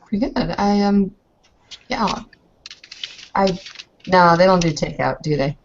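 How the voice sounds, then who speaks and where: A woman's voice: fairly low pitch, slow pace, muffled recording, slight background noise. A woman casually roleplaying as a player in a tabletop game session.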